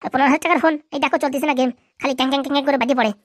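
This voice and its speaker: A woman's voice: high voice, fairly even intonation, very fast, no echo, studio quality, lightly acted. A woman voicing a cheeky, lazy young son in a comic cartoon, whiny and insolent. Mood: whiny, sulky. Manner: insolent, whiny back-talk.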